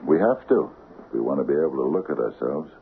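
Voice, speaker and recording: An actor playing a weary, guilt-ridden small-town barber with a halting, sardonic delivery in a radio drama. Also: male voice, big pitch swings, full character voice. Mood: uneasy. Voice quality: deep, gravelly. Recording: tinny, noisy old radio broadcast.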